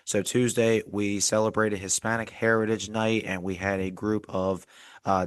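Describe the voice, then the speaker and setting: Low, flat-voiced man with a cold. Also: no room sound. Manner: reads off stats in an even, measured way. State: getting over a cold. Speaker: podcast co-host. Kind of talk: casual sports recap chat.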